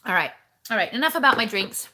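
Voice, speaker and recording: A woman's voice: on the high side, very animated, fast talker, pushed and bright. A woman hosting a casual livestream from home, chatting with viewers and greeting them by name in a bubbly, rambling way. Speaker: livestream host. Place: home kitchen.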